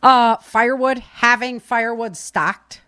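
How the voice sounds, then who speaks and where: A woman's voice: on the high side, steady tone, a little slow, pushed and bright, clear, resonant tone, no room sound, studio quality. A woman hosting a podcast, chatting casually and giving practical tips in an informal, folksy, rambling way. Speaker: podcast host.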